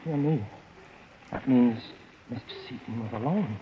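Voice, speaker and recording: A man's voice: medium pitch, highs cut off, close to an everyday voice. A man playing a timid, anxious young man, noisy recording. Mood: anxious, shaken. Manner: hesitant, halting, self-doubting. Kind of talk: 1940s radio suspense acting. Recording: noisy vintage radio recording.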